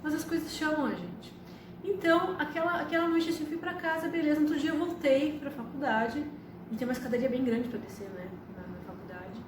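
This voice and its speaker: A woman's voice: high-pitched, a little fast. Brief: woman, 50s, chatty storyteller, distant mic, noisy echoey room. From Argentina. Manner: chatty, frequent tag questions. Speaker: astrologer and psychotherapist. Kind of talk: personal storytelling to camera.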